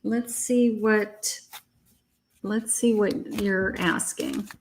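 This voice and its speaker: A woman's voice: mid-range voice, steady tone, very slow, slight background noise, poor audio. A woman doing a live tarot reading, chatty and reassuring, calling viewers honey and sweetheart, her voice starting to wear out. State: losing her voice. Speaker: psychic tarot reader.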